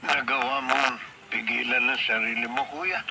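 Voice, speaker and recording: An actor with a Filipino accent playing a frank, probing younger brother in a radio drama. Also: male voice, medium pitch, steady tone, moderate pace, close to an everyday voice. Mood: suspicious. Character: probing younger brother, suspicious but won over. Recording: distant mic, very loud noise behind.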